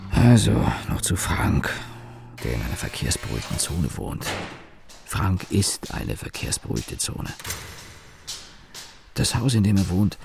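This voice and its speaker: A grumbling young narrator in a radio play, with a very low, creaky voice. He is deadpan and sardonic, over noisy background ambience. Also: exaggerated voice. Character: grumbling, disaffected young man named Daniel, sardonic narrator. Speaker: narrator and main character. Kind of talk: radio drama narration in first person.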